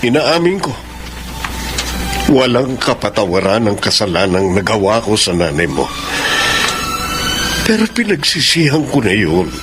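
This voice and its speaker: A man's voice: fairly low pitch, very animated, measured pace, pauses often, over loud music. A man acting a remorseful middle-aged father, pleading and solemn, in a Filipino radio drama.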